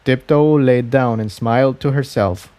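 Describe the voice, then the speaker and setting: Man narrating a fantasy story, middle pitch. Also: unhurried, dark tone, clear, resonant tone. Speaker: audiobook reader.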